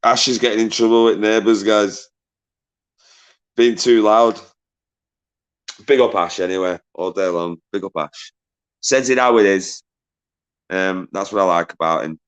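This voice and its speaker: A man hosting a casual live stream, swearing freely, laughing a lot and bantering with callers in a loud voice. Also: a little slow, long pauses, clean tone. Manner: says he has a deep, loud voice. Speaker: livestream host.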